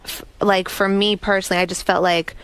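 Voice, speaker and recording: A woman's voice: medium pitch, level tone, fairly quick, fairly bright tone, a little hiss or hum. A woman guest on a radio interview, giving short, direct answers and personal memories. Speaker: celebrity guest. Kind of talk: casual interview chat. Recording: dry radio studio.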